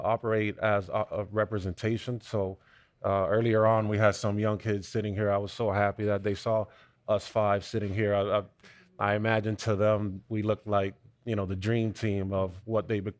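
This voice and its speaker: Earnest young guy from cuba, lotta uh's and restarts, low and flat. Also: no echo. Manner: earnest, halting with fillers and restarts. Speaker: panel guest. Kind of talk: panel discussion, conversational.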